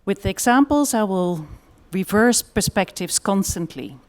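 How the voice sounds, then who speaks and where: A woman's voice: mid-range voice, expressive, a little slow, a little mellow. Dutch-accented woman giving a talk. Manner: thoughtful, with frequent fillers. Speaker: conference speaker. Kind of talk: conference presentation.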